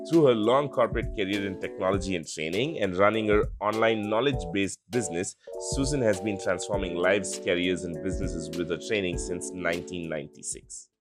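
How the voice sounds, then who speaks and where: A man hosting a podcast, reading a guest introduction and then asking loose, rambling questions with fillers. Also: on the low side. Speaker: podcast host. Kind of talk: interview hosting.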